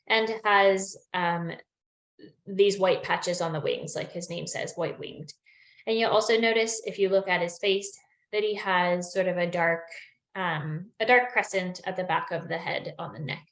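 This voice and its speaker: A woman's voice: medium pitch, a bit bright. A young woman presenting a bird identification lesson on a video call, friendly and explanatory with frequent ums, slightly losing her voice. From Newfoundland. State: losing her voice, clearing throat. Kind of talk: online webinar lesson. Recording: echoey room on a video call.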